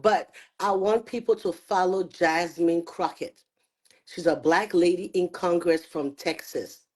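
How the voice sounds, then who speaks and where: A woman talking earnestly on a show, arguing her view in rapid bursts. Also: on the low side, darkish tone. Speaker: guest commentator. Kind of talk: opinionated chat.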